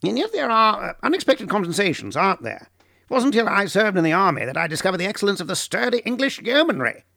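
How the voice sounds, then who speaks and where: A man voicing a middle-aged professor who speaks softly in a rapid rush of words, gravelly and earnest. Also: sits high, huge pitch swings, dry recording, studio quality, voice pushed up, heavily acted. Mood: fond, eager.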